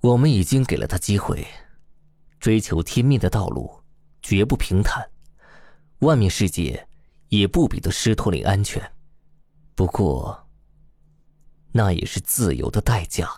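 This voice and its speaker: A man's voice: lowish voice, sing-song, a little slow, pauses often, a little mellow, dry recording, studio quality, heavily acted. A man acting a grave, proud demon king of a mountain sect, speaking in a solemn, weighty, musing way in a fantasy audio drama.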